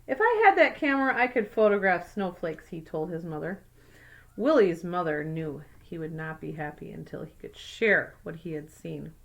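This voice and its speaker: A woman's voice: on the low side, animated, moderate pace, gravelly, fairly bright tone. A woman teacher reading a picture book aloud to young children, adding simple explanatory asides. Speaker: second grade teacher.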